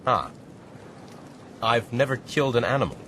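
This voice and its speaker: A man's voice: medium pitch, sing-song, normal speed, fairly bright tone. An actor playing a proud, determined but inexperienced castaway man in a period radio drama, blunt and protective, with jaunty bravado. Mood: weary, practical. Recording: clear outdoor sea and boat noise.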